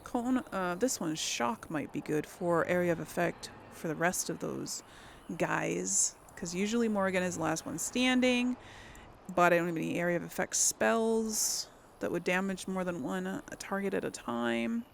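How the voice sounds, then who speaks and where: A woman's voice: lowish voice, moderate pace, creaky, a little hiss or hum. A woman giving casual gaming commentary, thinking aloud in a hesitant, musing way and often trailing off. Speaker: let's player hosting a role-play playthrough.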